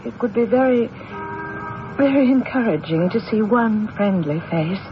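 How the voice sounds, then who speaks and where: An actress playing a poised, wealthy widow accused of killing her husband, speaking in a measured, guarded, formal way in an old-time radio mystery style. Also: mid-range voice, expressive, music in the background, lightly acted. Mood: weary, bitter. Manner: measured, guarded, formal, halting when recounting. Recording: noisy, muffled old radio recording.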